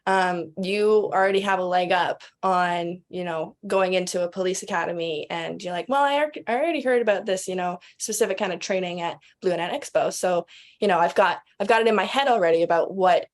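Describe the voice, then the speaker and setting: A woman's voice: on the low side, brisk. A woman speaking as an interview guest, chatty and casual, explaining things with little acted-out examples. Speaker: podcast guest, expo representative.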